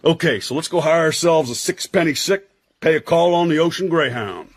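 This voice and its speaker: Husky, wisecracking American agent played by a man. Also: on the high side, a little fast, no room sound, lightly acted. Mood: brisk, wisecracking. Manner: slangy, wisecracking. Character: wisecracking American intelligence agent, jaunty, irreverent old pal. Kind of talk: radio drama acting.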